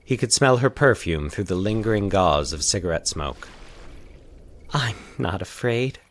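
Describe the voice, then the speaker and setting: A man's voice: low-pitched, expressive, moderate pace. A man narrating scripted horror fiction in a dramatic storytelling style.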